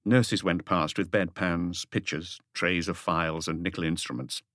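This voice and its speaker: A man's voice: low voice, steady tone, normal speed, a bit bright, no echo, clean studio sound. A man reading an audiobook as narrator, in a scripted literary storytelling style.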